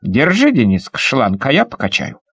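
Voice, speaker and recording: A man voicing an eager, bossy young boy in a children's audio story, urging friends on with drawn-out excited exclamations. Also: mid-range voice, vocal fry, no room sound, heavily acted. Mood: curious.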